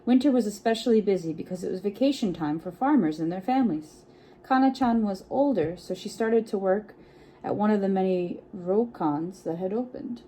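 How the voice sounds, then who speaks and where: A woman reading a picture book aloud to children in an expressive, encouraging way, with chatty asides and frequent check-in questions. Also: medium pitch, normal speed, slight background noise, poor audio. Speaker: children's storytime reader.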